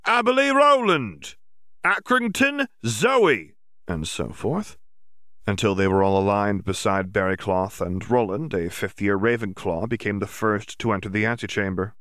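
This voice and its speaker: A man with a lowish voice narrates a fantasy story in a steady, measured way. The recording is dry and close, with no room sound. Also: dry recording. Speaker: audiobook narrator. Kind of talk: scripted audiobook narration.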